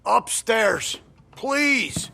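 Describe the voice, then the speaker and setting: A man's voice: very high-pitched, lively intonation, extremely slow, vocal fry, bright, forceful tone, exaggerated voice. A man playing a shifty small-time underworld informant in a game, clipped and slangy. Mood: wary. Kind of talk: game cutscene acting.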